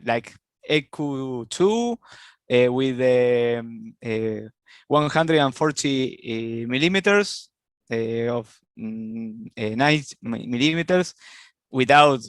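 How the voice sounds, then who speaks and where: Slow hesitant Argentine man. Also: mid-range voice, no echo, clean studio sound. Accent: Argentine Spanish. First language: Spanish. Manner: slow, hesitant, many fillers. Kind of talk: casual chat.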